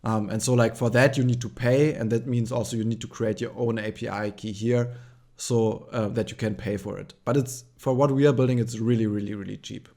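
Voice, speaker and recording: A man with a German accent walks through a tutorial in a loose, unscripted way. He thinks aloud as he goes, filling pauses with ums and likes, at a medium pitch. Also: steady tone, mellow. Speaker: tutorial host. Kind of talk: casual screen-share tutorial.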